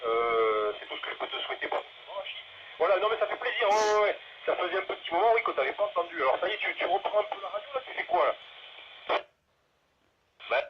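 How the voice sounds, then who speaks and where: A man's voice: high-pitched, very animated, fast talker. A man in a casual, hesitant and rambling chat as a radio operator, often repeating words, heard through a two-way radio. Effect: two-way radio transmission. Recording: muffled, noisy two-way radio audio.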